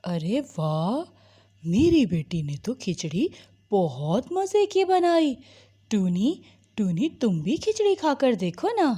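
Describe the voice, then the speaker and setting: A woman plays an affectionate papa bird from a cartoon moral tale. The delivery is slow and mellow, with swooping intonation and a fond, proud manner. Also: medium pitch, big pitch swings, slightly rough, full character voice. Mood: pleased. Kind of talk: Hindi moral-story cartoon dubbing.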